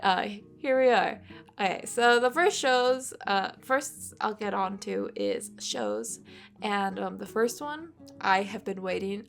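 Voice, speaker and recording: A woman's voice: medium pitch, creaky. A congested woman in her twenties chatting casually and rambling while she sketches, swearing often, recorded at home on a desk microphone. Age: 20s. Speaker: art vlogger. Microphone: USB desk microphone.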